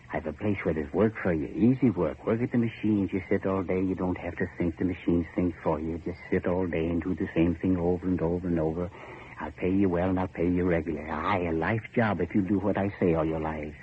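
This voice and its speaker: Man playing a deep-voiced, grim, cryptic old-radio boatman. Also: fairly even intonation, a little fast, close to an everyday voice. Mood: intense, bitter. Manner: cryptic, repetitive, folksy clipped phrasing. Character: grim, cryptic older boatman with foreboding air. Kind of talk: 1940s radio horror acting. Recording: noisy muffled old radio recording.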